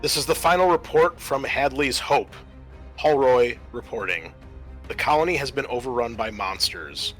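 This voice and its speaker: A man's voice: mid-range voice, bright, forceful tone. A man reading a solemn, formal final report as a grim colony survivor in a sci-fi tabletop game. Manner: solemn, formal, measured radio sign-off phrasing. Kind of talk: dramatic reading of scripted flavor text. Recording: faint music under the voice.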